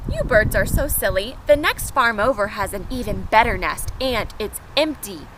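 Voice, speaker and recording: A woman's voice: medium pitch, very animated, normal speed, noisy background, natural voice. A woman voicing a scheming male cat in a storybook, exclamatory and coaxing, recorded outdoors.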